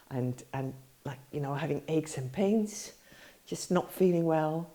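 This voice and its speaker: A woman's voice: deep voice, mellow. A middle-aged woman with a stuffy nose from a cold, rambling and correcting herself often as she teaches on her podcast. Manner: rambling, many fillers and self-corrections. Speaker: podcast host, meditation teacher. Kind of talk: casual spoken teaching.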